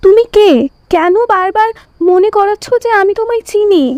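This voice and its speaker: A woman's voice: extremely high pitch, fairly even intonation, normal speed, a little mellow, no room sound. An actress playing an anxious, confused young woman in an audio drama, uneasy and questioning. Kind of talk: audio story drama acting.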